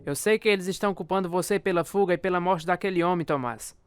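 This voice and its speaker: A man acting a devout prisoner in a radio drama, firm and direct as he exhorts. His voice is high for a man, very clear and recorded dry. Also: fast, no room sound, faint music behind, natural voice. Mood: firm, earnest. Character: firm, devout male prisoner urging faith.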